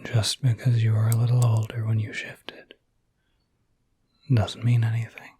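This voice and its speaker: A man playing a gentle, easygoing werewolf caretaker in an ASMR roleplay, whispering softly and soothingly in a halting, intimate way. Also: lowish voice, completely flat delivery, no room sound. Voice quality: breathy, soft whisper.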